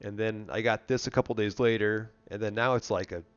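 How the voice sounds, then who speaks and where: A man's voice: fairly low pitch, steady tone, a little fast. A congested, nasal man with a worn voice, chatting and telling stories casually as the host of a live car show. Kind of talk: casual chat and storytelling. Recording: dull, lo-fi stream audio.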